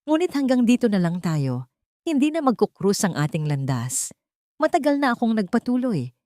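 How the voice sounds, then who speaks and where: Calm, resolute woman, acted by a female narrator. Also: on the high side, moderate pace, no echo, studio quality, voice pushed up. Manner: calm, clear, firm. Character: calm, resolute woman forgiving yet firmly parting. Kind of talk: audio storybook drama.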